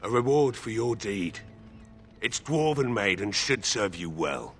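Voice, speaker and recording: An actor playing a grateful, earnest villager in a fantasy video game, speaking formally. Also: male voice, on the low side, animated, measured pace, a bit bright, soft background music. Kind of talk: scripted video game dialogue.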